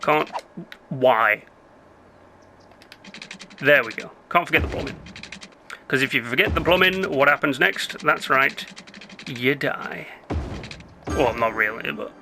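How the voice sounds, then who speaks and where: A young man giving rambling, casual commentary while playing a city-building game, his voice going hoarse. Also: medium pitch, animated, takes it slowly, long pauses, noisy background. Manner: chatty, rambling, self-interrupting. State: losing his voice. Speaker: gameplay commentator.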